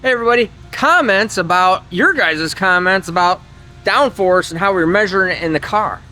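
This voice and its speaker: A man's voice: sits high, lively intonation, moderate pace, bright, forceful tone, soft background music. A man hosting an engine-building video, chatting casually to viewers and thinking aloud, often trailing off mid-sentence. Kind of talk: casual shop talk to viewers. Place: engine workshop.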